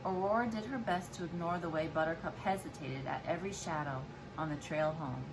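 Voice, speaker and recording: A woman's voice: low-pitched, a little fast, background noise. A woman, a mother, reading a children's storybook aloud expressively to her child. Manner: expressive, acts out dialogue and explains to child.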